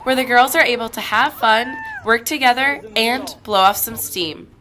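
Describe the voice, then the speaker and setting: Woman reporter reading her piece, a bit high, noisy background hiss. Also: normal speed. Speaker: student reporter. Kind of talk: scripted news report. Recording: noticeable background noise.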